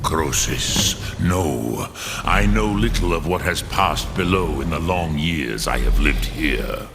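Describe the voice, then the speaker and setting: An ancient, wise dragon for a fantasy game, extremely low and very slow. He is solemn and patient, pondering between phrases in a heavy gravelly growl. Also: animated, background noise, heavily acted. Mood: calm, encouraging. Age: older. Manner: slow, ponderous, musing with long pauses. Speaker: mentor character in a fantasy game. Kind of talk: scripted fantasy game dialogue.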